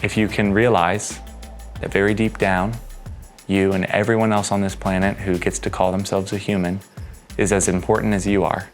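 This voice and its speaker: A man's voice: sits low, flat delivery, normal speed, faint music behind. A man who directs movement on a film, speaking earnestly and reflectively in a behind-the-scenes interview.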